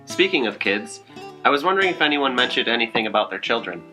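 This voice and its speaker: A man co-hosting a scripted podcast, with a quick, deadpan delivery. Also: medium pitch. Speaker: podcast co-host. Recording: music playing clearly behind.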